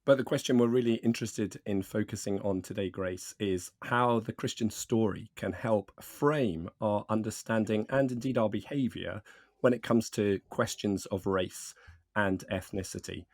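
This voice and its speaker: A man co-hosting a podcast with a slight croak from a cold, joking wryly and formally introducing a guest. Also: on the low side, normal speed, a little mellow, no room sound, studio quality. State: hoarse from a cold. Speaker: podcast co-host.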